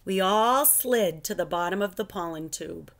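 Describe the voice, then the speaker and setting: A middle-aged woman reading a children's picture book aloud in an expressive, deliberate storytelling manner, at home. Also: lowish voice, creaky. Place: living room at home.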